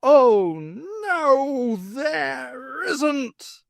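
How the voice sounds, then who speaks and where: A reader voicing a booming, boastful male genie who bellows stubbornly and will not concede. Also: big pitch swings, drawn-out words, gravelly, no room sound, clean studio sound, exaggerated voice. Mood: indignant.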